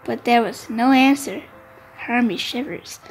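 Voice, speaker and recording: A woman narrating a storybook for young children with expressive, deliberate phrasing. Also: on the high side, creaky, heavy background noise.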